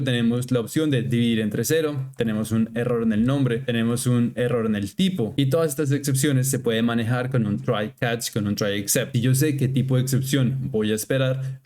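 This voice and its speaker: A young adult man, a software engineer, casually talking through code step by step in a screen-recorded programming tutorial. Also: medium pitch. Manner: casual step-by-step explaining, thinking aloud.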